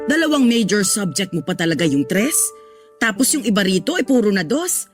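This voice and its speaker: A woman's voice: medium pitch, very animated, brisk, music in the background, lightly acted. A woman playing a strict, scolding Filipino mother in a radio drama, with a sarcastic, interrogating manner. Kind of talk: Tagalog radio drama acting.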